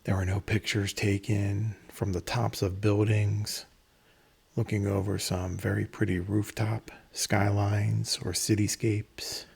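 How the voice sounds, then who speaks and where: A man leading a guided meditation in a measured, instructional manner, pausing between phrases, with a breathy, husky voice. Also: lowish voice, little pitch movement, darkish tone.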